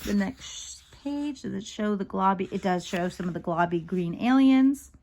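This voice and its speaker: A woman reading a children's book aloud in an expressive storytelling style, acting out the dialogue as she goes. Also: medium pitch, moderate pace, a little hiss or hum.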